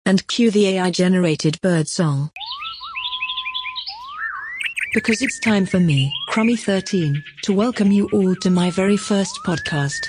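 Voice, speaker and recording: A woman's voice: medium pitch, normal speed, hardly any pauses, background noise, natural voice. A woman playing a self-promoting, cheerfully smug AI who presents her own podcast in a scripted comedic monologue. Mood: proud, excited. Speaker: podcast creator and host.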